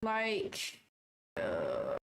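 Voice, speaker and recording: A woman's voice: rumbling low, wildly swooping pitch, drawn-out words, gravelly, a little hiss or hum. A woman hosting a trading livestream from her office, chatting casually, thinking aloud, second-guessing herself and swearing now and then. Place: small office.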